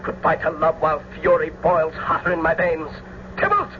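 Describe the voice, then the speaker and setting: An earnest young lover acted by a man, declaiming Shakespeare with wide swings of pitch. His voice sits slightly high, heard through a noisy, dull old radio recording. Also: animated, normal speed, natural voice. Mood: furious. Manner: declamatory, heartfelt. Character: passionate, earnest young lover turned avenger.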